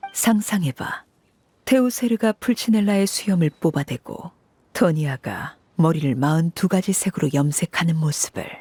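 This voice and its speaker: A woman voicing a cool, composed matriarch in an anime game, speaking in a measured, formal and aloof manner. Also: on the low side, mellow, husky, no echo. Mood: stern, dry. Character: cool, commanding woman, composed head of an orphanage family.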